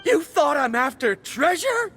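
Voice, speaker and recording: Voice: extremely high pitch, bright, forceful tone, exaggerated voice. An actor playing a proud, volatile male scholar of ores in a video game, with a theatrical, emphatic delivery. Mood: indignant.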